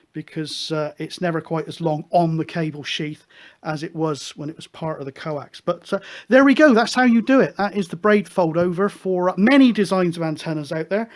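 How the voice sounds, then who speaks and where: Man from the UK, high-pitched voice, casual hobby show host, chatty, rambling, hesitant explanations.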